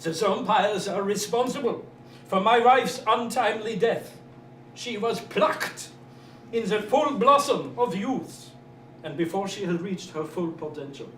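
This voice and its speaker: A fanatical, grieving scientist played by a man declaims in a raised, wildly varied voice. It is a melodramatic sci-fi stage reading, heard from a distance over clear noise. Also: sits high, expressive, measured pace, fairly bright tone. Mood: bitter. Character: grieving, fanatical immigrant male scientist hating alien zompires. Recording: distant, echoey live room with clear noise.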